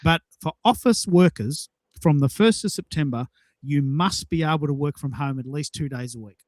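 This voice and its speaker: A man's voice: fairly high pitch, moderate pace, darkish tone, no room sound. A man with an Australian accent hosting a show in casual chat, enthusiastic and emphatic as he talks. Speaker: show host.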